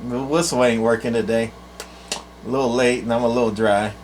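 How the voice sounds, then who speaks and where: Casting: older male, mid-pitched, folksy halting rambler doing an informal gear demo, noisy indoor recording. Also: steady tone. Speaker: presenter, CB radio collector. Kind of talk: casual unscripted equipment demo.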